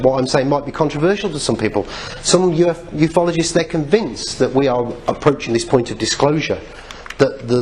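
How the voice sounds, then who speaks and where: A man's voice: a little high, darkish tone. A man giving an informal public lecture, speaking in an opinionated way with rhetorical questions. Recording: noticeable background noise, live talk recording.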